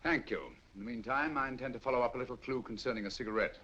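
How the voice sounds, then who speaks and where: A man playing a shrewd, calm detective in an old radio drama, speaking in a measured, courteous, dryly confident way. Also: mid-range voice, a little hiss or hum, close to an everyday voice. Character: shrewd, calm male detective, middle-aged. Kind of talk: 1940s radio acting. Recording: dull old radio audio.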